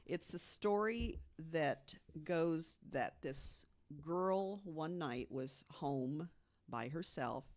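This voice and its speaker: Woman, podcast co-host, casual storytelling, hushed for scary parts, chatty fillers. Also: deep voice, drawn-out words. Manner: chatty storyteller, fills with "you know", drops to hushed tones for the scary parts.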